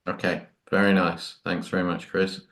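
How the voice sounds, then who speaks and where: Very low-voiced man chairing introductions. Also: little pitch movement, normal speed, a bit bright. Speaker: course host.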